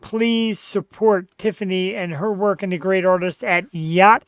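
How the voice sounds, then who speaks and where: A man's voice: high-pitched, steady tone, measured pace, dry recording. Earnest rambling male podcast host. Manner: earnest, rambling, self-deprecating. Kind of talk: casual chat.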